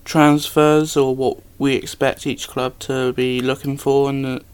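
Smooth, flat-voiced young man. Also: medium pitch, a little slow. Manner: matter-of-fact, dry opinions. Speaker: podcast co-host. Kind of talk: casual football chat.

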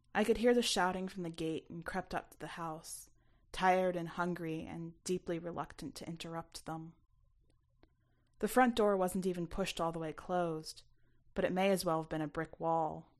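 Woman narrating a short story, first person, kinda low voice, expressive. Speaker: author reading their own short story.

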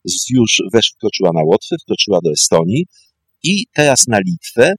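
A man explaining history off the cuff as a historian, emphatic and halting, often correcting himself and repeating phrases. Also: lowish voice, big pitch swings, slightly rough, dry recording. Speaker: historian, interview guest. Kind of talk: spoken historical explanation, unscripted.

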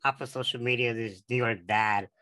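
A man's voice: medium pitch, steady tone, a little fast, sharp tone. An eighteen-year-old man from San Diego hosting an interview, reading the introduction earnestly with restarts. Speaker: podcast host.

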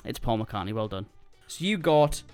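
A man's voice: mid-range voice, expressive, moderate pace, a little mellow, clean tone, faint music behind. A man co-hosting a casual chat podcast, teasing and upbeat, swearing now and then. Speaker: podcast co-host.

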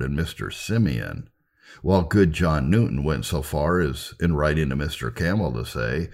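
Male narrator for religious history audiobook: extremely low, creaky voice, formal delivery, lively intonation. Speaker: narrator reading church history.

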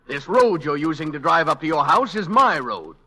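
An unfriendly, self-important neighbour in an old radio comedy. He speaks curtly and formally in a very sharp, forceful, fairly high voice. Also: sing-song, normal speed, husky, heavily acted. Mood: stern, haughty. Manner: curt, pompous, formal. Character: stern, unfriendly, possessive male property-owning neighbour. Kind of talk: 1940s radio comedy acting.

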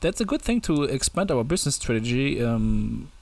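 Young german-accented dude, podcast cohost, jokey, keeps restarting sentences, mid-pitch voice. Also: male voice, big pitch swings, moderate pace. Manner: joking, hesitant with many restarts. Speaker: podcast co-host. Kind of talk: casual chat.

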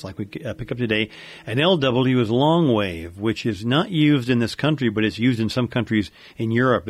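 A man's voice: medium pitch, dry recording. A man with an American accent explaining technical matters informally, like a knowledgeable regular guest on a radio-themed podcast.

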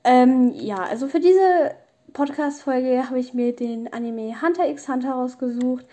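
High-pitched young woman, mellow. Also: measured pace. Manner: informal youth slang, rambling and opinionated. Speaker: podcast host. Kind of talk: casual solo podcast chat.

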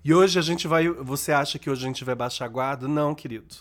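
A man's voice: medium pitch, fast talker. A Brazilian man co-hosting a podcast, chatting in a playful, camp way and laughing often. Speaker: podcast co-host. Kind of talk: campy casual chat.

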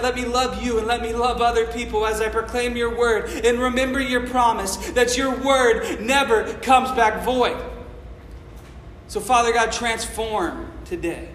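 A man who is a pastor preaching casually and praying with a fervent, repeated "Father God" cadence, in a loud and excitable voice. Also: extremely high pitch. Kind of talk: sermon and prayer. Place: large echoey church hall. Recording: echoey hall, faint room noise.

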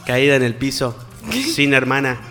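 A man's voice: mid-range voice, flat delivery, normal speed, a bit bright, in a large hall, faint music behind. A man, hosting a casual radio show and reading listener messages with self-mocking banter. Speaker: radio host.

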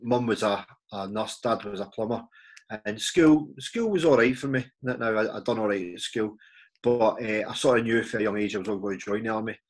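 Halting Glaswegian man, low voice. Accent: Glaswegian Scottish. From Scotland. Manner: halting, repeats words, many fillers. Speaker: podcast guest. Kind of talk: casual interview chat.

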